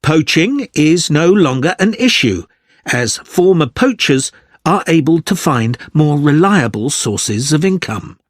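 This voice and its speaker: A man delivering a scripted academic lecture in a measured, clearly articulated manner. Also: a little high, big pitch swings, dry recording, studio quality. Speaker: lecturer in a listening exam recording.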